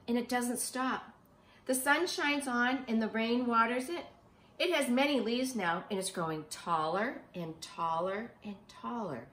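Middle aged lady reading a kids picture book, highish voice, expressive teacher style, echoey room at home. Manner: expressive, emphatic, child-directed delivery. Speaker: preschool teacher reading aloud. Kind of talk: picture-book read-aloud for young children with simple questions. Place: small living room, indoors on a sofa. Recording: roomy home recording.

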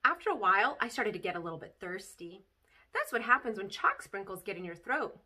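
An excitable, silly little storybook girl, as a woman reader plays her, chattering about her mischief. The delivery is bright and bubbly, with dramatic swoops in pitch. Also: brisk. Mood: matter-of-fact. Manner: childlike phrasing, dramatic, bubbly. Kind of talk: expressive children's storybook read-aloud.

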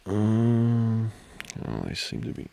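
A man with a low, heavily creaky voice plays a flustered studying boyfriend in an ASMR roleplay. He talks slowly and hesitantly, close to the mic, with pitch that rises and dips often. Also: very animated, mellow, a little hiss or hum. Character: flustered, distracted studying boyfriend, teasing and affectionate. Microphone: close microphone, dry.

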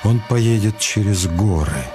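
A man's voice: on the low side, unhurried, close to an everyday voice. A man narrating a radio crime thriller as a grave storyteller. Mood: measured. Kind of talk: dramatic radio play narration. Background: music under the voice.

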